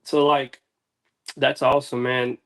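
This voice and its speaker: A man's voice: mid-range voice, flat delivery, unhurried, fairly bright tone. A man with a mid-range voice chats as a guest on a music show. He is laid-back and slangy, rambling easily from one point to the next. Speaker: interview guest, music artist. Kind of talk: casual chat.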